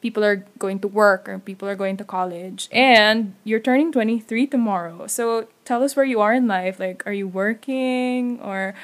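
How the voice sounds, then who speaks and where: Young woman, chatty and quick to laugh, middle pitch. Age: young adult. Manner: chatty, laughs often, trails off softly. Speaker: podcast host. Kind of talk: casual podcast chat. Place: away from her usual setup, somewhat noisy.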